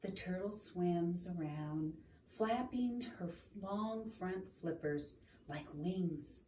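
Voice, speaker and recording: Lady reading kids storybook out loud, really unhurried and mellow, kinda far from the mic. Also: fairly low pitch. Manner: expressive, deliberate, asking the listener questions. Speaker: children's storybook reader. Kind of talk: read-aloud storytelling for children. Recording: roomy, noisy, distant microphone.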